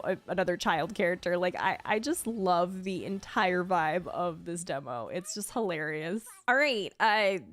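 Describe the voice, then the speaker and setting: A woman giving casual, gushing reaction commentary in a conversational, expressive way. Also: medium pitch, no room sound, studio quality. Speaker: streamer ranking game character demos. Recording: music playing behind the voice. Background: background music.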